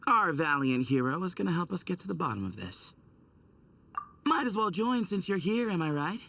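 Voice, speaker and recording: An actor playing an easygoing, friendly young forest researcher in a video game, casual and teasing. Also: male voice, high-pitched, sing-song, normal speed, creaky, a bit bright, far from the mic, a little hiss or hum, exaggerated voice. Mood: upbeat, persuasive.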